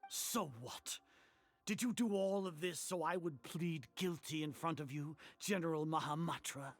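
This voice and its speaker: A man playing a proud, scheming older sage in an anime game, with a husky voice and a haughty, formal delivery. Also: fairly high pitch, measured pace, no echo, lightly acted. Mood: defiant. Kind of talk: dramatic anime game voice acting.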